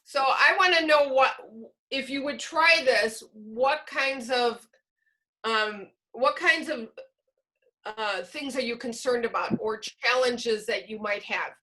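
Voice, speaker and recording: A woman trainer with a fairly high, bright voice runs an online workshop. She projects loudly and explains things in an informal, encouraging way. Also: slow, rough recording. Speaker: workshop presenter, teacher trainer. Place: online video call.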